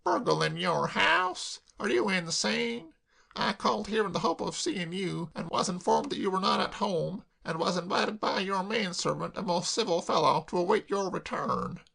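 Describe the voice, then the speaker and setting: A bluff, grandiloquent swindler with a raised, slightly gravelly voice that sounds unlike the plain narration, as a male reader puts him on. He talks slowly and grandly, full of wounded dignity. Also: a little high, pushed and bright, heavily acted. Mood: indignant. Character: bluff pompous middle-aged male con man.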